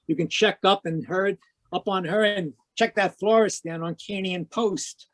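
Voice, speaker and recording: A man playing a hard-boiled, wisecracking private investigator in a 1940s-style radio noir drama, deadpan, clipped and sardonic. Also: high voice, unhurried, no echo. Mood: sardonic, defiant. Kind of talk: 1940s radio noir acting with first-person narration. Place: live remote video-call performance.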